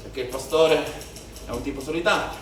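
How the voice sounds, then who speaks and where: An actor playing a weary, bitter policeman and shepherd's son from southern Italy in a declamatory theatrical monologue with dramatic pauses and repetitions. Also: mid-range voice, close to an everyday voice. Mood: earnest, bitter. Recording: very echoey theatre, distant mic, faint noise.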